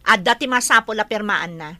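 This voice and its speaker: A woman's voice: medium pitch, a little fast, pushed and bright, no echo. A woman with a Filipino Ilocano accent playing an imperious, scheming woman in an audio drama. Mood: impatient, demanding. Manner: imperious, impatient, demanding. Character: scheming, bossy woman plotting against a relative.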